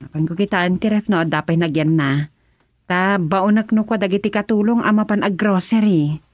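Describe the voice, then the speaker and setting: An actress playing a composed, well-off madam of a political household in an Ilocano radio drama, measured and authoritative. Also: female voice, medium pitch, a little mellow, lightly acted.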